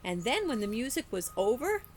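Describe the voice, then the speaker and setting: A woman reading a children's story aloud in an expressive storytelling style, like a teacher with a class book. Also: a little high, a little fast, slight background noise.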